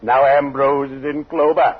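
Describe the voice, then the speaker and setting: An actor playing a pompous, morbidly jolly undertaker in a 1940s radio comedy, with drawn-out theatrical delivery. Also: male voice, mid-range voice, little pitch movement, slightly rough. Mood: gleefully macabre. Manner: solemn, drawn-out, theatrical delivery with macabre puns. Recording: muffled, worn 1940s radio recording.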